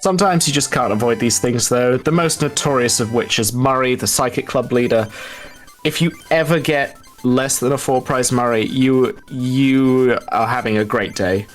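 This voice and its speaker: A man giving live commentary on a game race, wordy and prone to drawn-out emphasis. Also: mid-range voice, soft background music.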